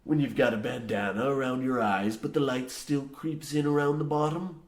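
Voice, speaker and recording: An actor playing a grizzled, half-mad old coal miner, boastful and ominous, rambling theatrically in rustic archaic phrasing in a comic old-time radio horror play. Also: male voice, medium pitch, steady tone, moderate pace, lightly acted. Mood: menacing, dramatic. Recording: roomy, echoing sound.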